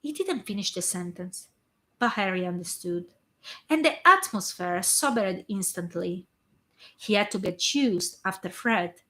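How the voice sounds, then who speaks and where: Hoarse woman reading a story aloud. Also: mid-range voice, unhurried. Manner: steady reading with small slips and restarts. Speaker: fan fiction reader.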